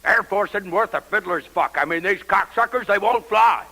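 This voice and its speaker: A man's voice: high voice, normal speed, creaky, bright, forceful tone, heavily acted. An actor playing an angry male president who swears and blusters over lunch, in a radio drama. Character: profane, angry male president in the Oval Office. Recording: radio play recording.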